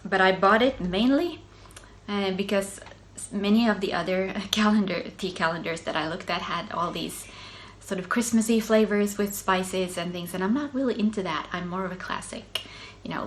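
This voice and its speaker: A woman's voice: medium pitch, moderate pace, slight background noise. A middle-aged woman from Finland with a Finland-Swedish accent, Swedish native, hosting a casual knitting podcast in a chatty way, with many ums and small laughs. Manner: chatty, self-interrupting, soft laughs, frequent ums. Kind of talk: casual solo video podcast.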